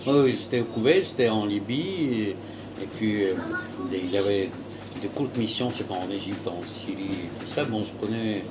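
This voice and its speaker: A man, his voice on the low side. He is reflective and stops now and then to find the right word, with loud background noise behind him. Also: animated, moderate pace. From Russia. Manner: reflective, searches for words. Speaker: entrepreneur, former diplomat.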